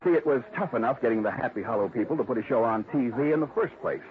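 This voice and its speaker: An actor playing a scheming ad man in a 1950s radio drama, talking slow, cool and menacing. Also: medium pitch, expressive, close to an everyday voice. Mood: cool menace. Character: scheming male ad man, smooth and cold. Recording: tinny 1950s radio broadcast.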